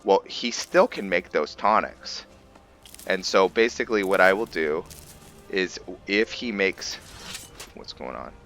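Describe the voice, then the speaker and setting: Very deep, bright-voiced male gaming host, casual explanatory commentary over music. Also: animated, unhurried. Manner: musing, explanatory. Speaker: host of a gaming roleplay series. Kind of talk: casual let's play commentary.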